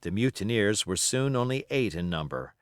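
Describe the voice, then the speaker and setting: A man narrating an adventure novel as an audiobook, in an expressive, measured, dramatic storytelling style. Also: on the low side, clear, resonant tone, no echo, clean studio sound.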